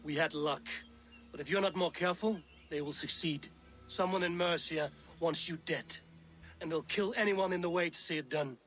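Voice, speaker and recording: An actor playing a wry, protective Norse warrior in a video game, speaking in a dry, direct manner. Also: male voice, fairly high pitch, moderate pace, fairly bright tone, natural voice. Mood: stern, concerned. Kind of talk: video game character acting.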